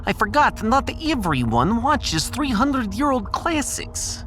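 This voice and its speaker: A woman's voice: fairly high pitch, sing-song, unhurried, a bit bright, soft background music. A woman playing a playful, teasing female starship computer in a sci-fi audio drama.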